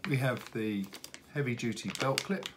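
A middle-aged man giving an unscripted product unboxing walkthrough, speaking haltingly with many hesitations. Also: medium pitch. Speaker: product presenter for a radio retailer. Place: indoors at a wooden table in an office. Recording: steady background room noise.

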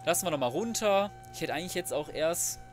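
A man's voice: on the high side, lively intonation, fast, faint music behind. A man giving casual let's play commentary, colloquial and mildly sweary, often muttering to himself. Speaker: gaming video host.

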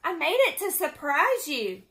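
An eager, cheerful storybook housemaid, voiced by a woman reading aloud, earnest and keen to please. The voice is high and bright, with bouncy, animated intonation. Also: a little slow, exaggerated voice. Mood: proud, cheerful.

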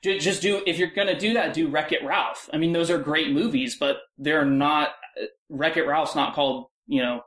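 A man's voice: fairly high pitch, steady tone, normal speed, fairly bright tone. A man giving blunt, insistent opinions as a guest in a casual panel discussion.

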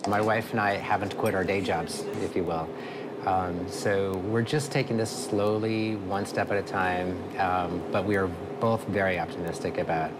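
A man's voice: low-pitched, steady tone, moderate pace. A man in his forties answering casually in an interview as a small business owner. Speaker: coffee shop owner being interviewed. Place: small room indoors. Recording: loud background noise.

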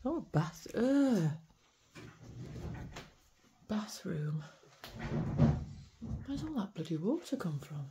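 A woman's voice: mid-range voice, very animated, extremely slow, pauses often, very noisy. A British woman chatting casually and wryly as she explores indoors. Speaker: urban explorer and vlogger. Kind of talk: casual chat while exploring. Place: indoors, rooms of derelict farmhouse.

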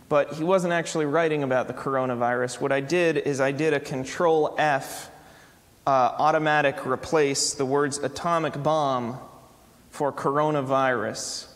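A man in his thirties, a preacher speaking conversationally to his congregation in a church. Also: on the high side. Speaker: preacher giving a benediction. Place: large church sanctuary, indoors. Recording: reverberant church hall.

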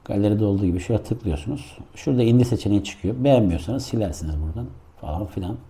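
A man's voice: on the low side, very fast, gravelly, warm tone. A man doing a casual hands-on product review, explaining things step by step in an informal, improvised way. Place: indoor room.

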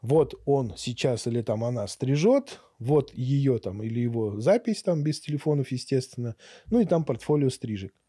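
A man's voice: mid-range voice, lively intonation, moderate pace, warm tone, slightly rough, dry recording. A middle-aged man talking conversationally as a podcast guest, explaining things through anecdotes, recorded close on a studio microphone. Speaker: podcast guest, barber and teacher. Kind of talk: conversational interview talk. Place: small acoustically treated podcast studio. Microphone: boom-mounted studio microphone up close.

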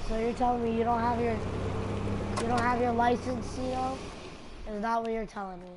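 A woman's voice: on the high side, flat delivery, normal speed. A woman chatting casually and teasingly with a teammate while playing a game. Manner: teasing, bossy. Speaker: gamer. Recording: loud game noise behind.

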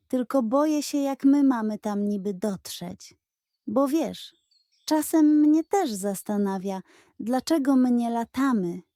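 A woman voicing a naive, gullible and excitable female hen in a children's audio tale, wide-eyed and awestruck. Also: a little high, no room sound, clean studio sound.

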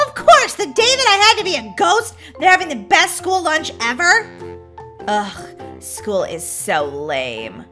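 A woman voicing a mischievous, dramatic young girl ghost with a whiny, excitable, drawn-out delivery. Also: extremely high pitch, soft background music, heavily acted.

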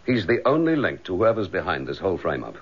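Deep, quick-talking British detective. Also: male voice, sing-song, slightly rough, highs cut off, exaggerated voice. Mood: brisk, pensive. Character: suspended police detective, protective husband, brisk and affectionate. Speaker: actor in a radio drama. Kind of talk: naturalistic British radio drama acting.

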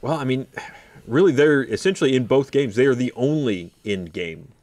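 A man's voice: mid-range voice, expressive, moderate pace, darkish tone, no echo. A man in his thirties on a gaming podcast panel, talking casually and arguing his opinions into a studio microphone. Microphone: studio microphone on desk.

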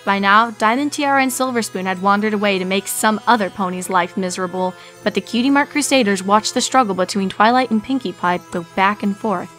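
A woman's voice: medium pitch, fairly quick, hardly any pauses, a bit bright. A woman reading scripted fan fiction as a story narrator. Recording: music behind the voice.

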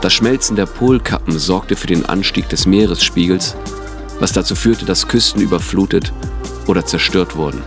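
A male narrator with a very low voice tells a dramatic audio play story. His delivery is measured and grave, and music plays clearly underneath.

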